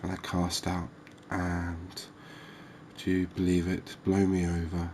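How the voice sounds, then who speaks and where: Male ASMR game host, soft and unhurried, very low register, monotone delivery. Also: a little hiss or hum. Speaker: gaming video host.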